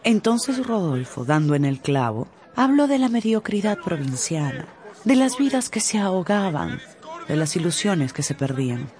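A woman giving expressive, scripted storytelling narration for a radio drama. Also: lowish voice, normal speed, some chatter behind.

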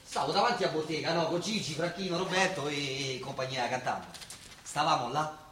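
Actor as high-voiced gossipy Roman neighbour. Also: male voice, fairly quick, a bit bright, close to an everyday voice. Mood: admiring, excited. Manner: chatty, storytelling. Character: gossipy Roman neighbourhood man, admiring, eager storyteller. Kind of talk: Roman dialect stage comedy acting. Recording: distant echoing stage sound, faint noise.

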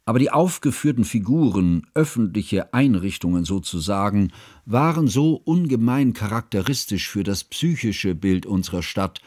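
An actor playing a bookish, self-conscious scholar who recalls his youth in measured, ornate literary narration for a radio play. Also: on the low side, lively intonation, a little mellow. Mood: reflective, scrupulous. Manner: measured, formal, ornate phrasing. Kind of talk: literary first-person narration, German radio drama.

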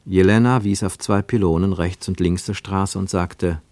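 A male narrator with a fairly low voice reads literary prose for a radio drama. He is measured and expressive, setting deliberate pauses between phrases. The recording is very dry, with no room around the voice. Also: dry recording.

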